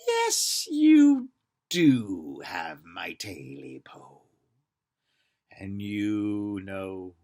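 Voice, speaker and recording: A vengeful rat creature from a spooky folk tale, as a storyteller puts him on, drawing each word out slowly. His voice swoops from high wails down to a breathy whisper, with lots of movement in the pitch. It sounds close and hushed. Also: huge pitch swings, heavily acted. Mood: menacing. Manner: slow drawn-out chant sliding to a hoarse whisper. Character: eerie male King Rat spirit, vengeful.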